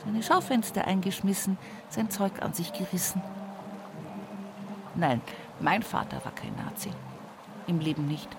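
An actress playing an earthy, stubborn, devout widow from the village in an intimate radio monologue, with a husky, breathy voice and an Upper Palatinate Bavarian accent. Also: female voice, fairly low pitch, noisy background. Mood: wry reminiscing. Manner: earthy, folksy storytelling at a bedside. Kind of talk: intimate radio drama monologue in rural vernacular.

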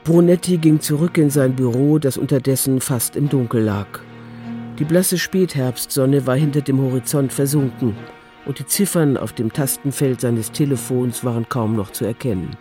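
A man narrating a radio crime drama in a calm, composed literary style. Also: medium pitch, normal speed, soft background music.

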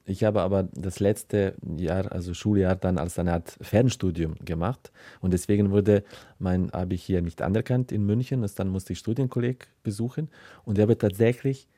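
Low, mellow man from Serbia. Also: fairly even intonation, moderate pace, no room sound, clean studio sound. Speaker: writer, interview guest. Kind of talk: explanatory interview answers.

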